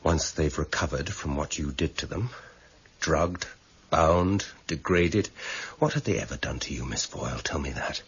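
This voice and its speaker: An actor playing a weary, measured senior police detective with quiet authority and an English accent, in a radio drama. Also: male voice, a bass voice, slightly rough, full character voice. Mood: stern, pressing. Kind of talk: 1990s British radio drama acting. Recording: muffled, noisy old radio broadcast recording.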